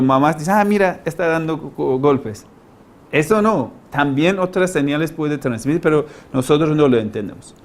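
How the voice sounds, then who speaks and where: A man with a non-native, foreign accent giving an informal lecture as a teacher, didactic and halting, stressing key words and posing rhetorical questions. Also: fairly high pitch. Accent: foreign, non-native Spanish. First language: not Spanish. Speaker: language-learning teacher, lecturer.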